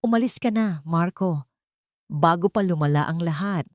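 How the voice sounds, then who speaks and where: A woman's voice: fairly low pitch, moderate pace, a little mellow, dry recording, studio quality, natural voice. Cold, steely female CEO, voiced by a woman. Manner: cold, emotionless, firm.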